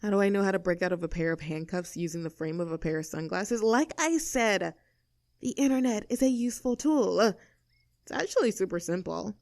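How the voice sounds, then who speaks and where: Girl doing a snarky mean girl for an audio rp, cocky n bossy, normal pitch, super dry close sound. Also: lively intonation, a little fast, a little mellow, dry recording, natural voice. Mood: snippy. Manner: snarky, bossy, teasing, self-assured. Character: sassy rebellious mean girl, cocky young troublemaker. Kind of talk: acted audio roleplay addressed to the listener.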